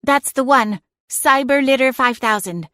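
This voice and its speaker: A woman's voice: high-pitched, a little slow, bright, forceful tone, dry recording, pitched above the performer's own voice. A woman voicing a bossy, easily bored talking female cat. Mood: eager. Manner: dry, demanding.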